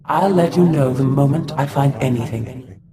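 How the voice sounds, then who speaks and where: An actor playing an earnest, dutiful scholarly Sith aide in a game, measured and formal. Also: male voice, medium pitch, crowd noise, close to an everyday voice. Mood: measured, concerned.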